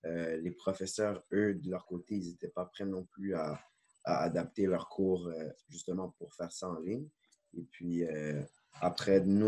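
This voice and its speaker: Young Québécois man with a low voice, student guest, relaxed chatty delivery over a video call. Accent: Québécois French. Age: young adult. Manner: relaxed, chatty, frequent "euh" and "là".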